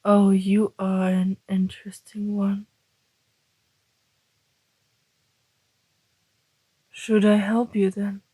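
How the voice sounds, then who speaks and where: A woman playing a suave, teasing male vampire in an ASMR roleplay, speaking in a breathy, deliberate, flirtatious half-whisper. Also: medium pitch, level tone, warm tone, no echo, low-quality recording. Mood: amused, tempted.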